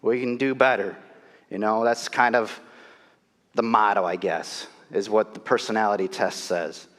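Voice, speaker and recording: A man's voice: fairly low pitch, steady tone, moderate pace, fairly bright tone. A middle-aged male pastor with a cold and a nasal voice, preaching a sermon in a casual, storytelling way in a church. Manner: conversational, storytelling, self-deprecating. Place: church sanctuary. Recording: long reverb of a church hall.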